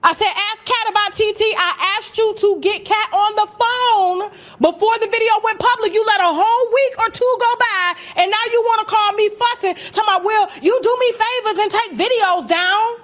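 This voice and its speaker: An American woman telling gossip in a casual, animated, story-like way, brash and sassy, swearing freely and acting out conversations. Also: extremely high pitch, moderate pace, hardly any pauses. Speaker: gossip vlogger and host.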